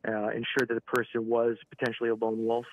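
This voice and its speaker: A man giving a live news interview by phone, halting and repeating words, often saying "you know". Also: mid-range voice, monotone. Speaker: regional director of an advocacy organisation, interview guest by phone. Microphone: telephone. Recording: phone call with clear background noise.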